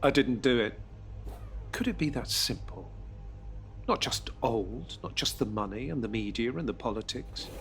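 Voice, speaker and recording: A man narrating a dramatic audio drama, hushed and tense, then urgent. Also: mid-range voice, animated, normal speed. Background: music.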